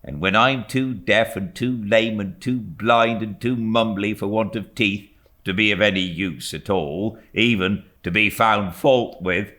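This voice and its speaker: A man voicing a warm-hearted, blunt middle-aged woman servant who talks in an emphatic, homely way. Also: fairly low pitch, measured pace, voice pushed up. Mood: fierce, loyal.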